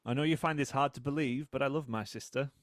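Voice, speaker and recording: An actor playing a cool, needling British man in a drama, a wronged brother with a sardonic, deliberate way of talking. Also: mid-range voice, lightly acted. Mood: firm, protective. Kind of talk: audio drama acting.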